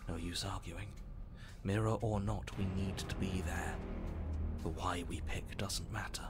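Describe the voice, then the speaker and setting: Predatory voice in the head: deep, intense, cryptic, horror game, heavy music bed. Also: male voice, steady tone, unhurried. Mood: grim resolve. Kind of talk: dramatic horror game voice acting. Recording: music under the voice.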